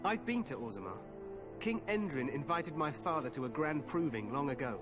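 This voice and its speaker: A man's voice: a little high, sing-song, moderate pace. An actor playing a cheerfully overconfident king eager for glory in a fantasy game, with a hearty, regal yet informal manner. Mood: jovial. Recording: orchestral game music behind voice. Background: music.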